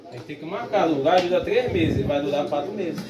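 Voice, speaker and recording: A man's voice: a little high, expressive, a little fast, lo-fi sound, crowd noise. A man in his thirties with a Brazilian accent, chatting casually in a home kitchen. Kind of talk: casual domestic chat. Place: small tiled home kitchen, indoors. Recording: very noisy, echoey, distant mic.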